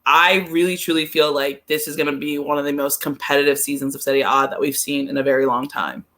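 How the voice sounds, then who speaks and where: A woman's voice: sits low, steady tone, fast talker, fairly bright tone. A woman in a casual sports chat as a podcast guest, giving her opinions informally and hesitantly, often repeating her phrases. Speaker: podcast guest, soccer pundit.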